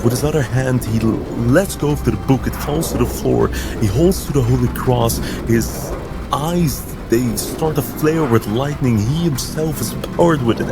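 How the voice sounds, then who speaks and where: A man's voice: mid-range voice, low-quality recording. A man playing a tabletop game, narrating his character's actions in an intense, theatrical way. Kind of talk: dramatic third-person game narration.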